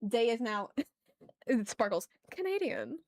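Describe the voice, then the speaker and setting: Playful, higher-voiced woman streamer. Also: sing-song, takes it slowly, darkish tone, no echo. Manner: playful, chatty, addresses viewers directly. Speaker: live art streamer. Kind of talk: casual livestream chat while drawing.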